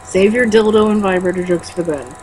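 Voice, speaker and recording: A woman's voice: mid-range voice, a little fast, a little mellow, a little hiss or hum. A woman reading a novel aloud and breaking into exasperated, sarcastic, sweary commentary and casual banter.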